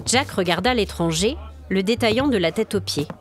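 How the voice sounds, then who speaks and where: Woman narrating a dark tale over music. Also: mid-range voice, lively intonation, normal speed. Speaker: storyteller, podcast host. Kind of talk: scripted story narration.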